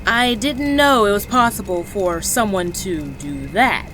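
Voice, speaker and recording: A woman's voice: mid-range voice, sing-song, a little slow, fairly bright tone, slight background noise, poor audio. A woman playing a composed but awed young female mage leader in an audio drama. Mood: shaken awe.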